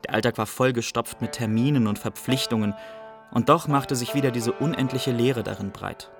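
Man playing young male refugee, melancholy, reflective radio drama monologue, medium pitch, clear music underneath. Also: moderate pace, close to an everyday voice. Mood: bleak, reflective. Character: melancholy young Iraqi refugee recounting his life in Germany.

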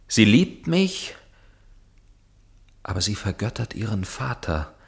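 A deep male voice carries a brooding young gentleman in a radio play. He speaks with formal, measured earnestness, tormented by love and suspicion. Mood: anguished. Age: young adult. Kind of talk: German radio play acting, dialogue and narration.

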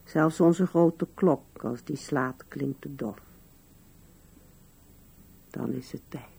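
An older woman acting in a radio drama as a musing, wistful old widow with a gravelly, husky voice. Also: a bass voice, takes it slowly, mellow, heavily acted. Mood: tender, sorrowful.

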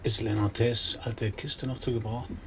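An actor playing a cynical private detective in a crime radio play, laconic and sardonic with a gravelly voice. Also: male voice, lowish voice, flat delivery, moderate pace, bright, forceful tone, a little hiss or hum. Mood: terse, cool. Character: cynical, hard-boiled male private detective narrating his case. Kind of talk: first-person crime radio play narration and dialogue.

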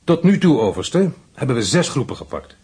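A methodical officer, husky. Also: male voice, mid-range voice, sing-song, normal speed, a little mellow. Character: methodical intelligence officer, briefing a commandant. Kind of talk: radio drama acting.

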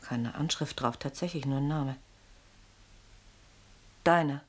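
A woman's voice: very deep voice, slow, slight background noise. An actress playing an anxious, sceptical woman who shields her companion, pointed and probing in a dramatic radio play style. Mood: hesitant, uneasy. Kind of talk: German radio play acting.

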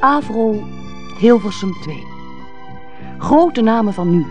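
A radio continuity announcer in a radio play. She reads a scripted introduction formally and in a measured way, with clearly audible music behind. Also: medium pitch, big pitch swings, highs cut off.

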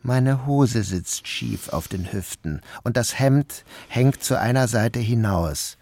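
A man narrating a literary novel in the first person, in a measured, subdued, dreamlike tone. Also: mid-range voice, animated, a little mellow, dry recording. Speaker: audiobook narrator.

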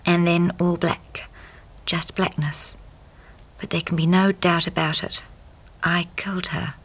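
An actress playing an earnest, troubled young wife in a radio drama, well-spoken and politely insistent. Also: female voice, fairly low pitch, fairly even intonation, measured pace, breathy edge, low-quality recording. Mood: haunted reading.

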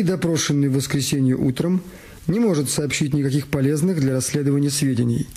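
A man's voice: mid-range voice, mellow, husky. A man reading scripted narration for a radio detective drama. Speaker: radio play narrator and actor.

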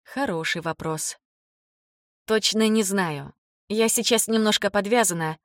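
A woman's voice: medium pitch, measured pace, no room sound, studio quality, natural voice. A woman voicing a hesitant, devoted grown daughter in audiobook dialogue, in a conversational way. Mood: unsure.

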